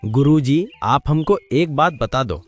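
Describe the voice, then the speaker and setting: Cartoon animal disciple, played by a man, mid-pitched male voice, earnest, deferential, plain-spoken. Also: animated, measured pace, soft background music. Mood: respectful. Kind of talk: Hindi cartoon story acting.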